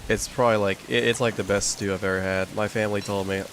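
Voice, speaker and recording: A man's voice: fairly low pitch, steady tone, fast, a bit bright, a little hiss or hum. A young man streaming a game, chatting casually in a rambling way with many fillers. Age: young adult. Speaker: gaming streamer. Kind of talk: casual chat while playing a game.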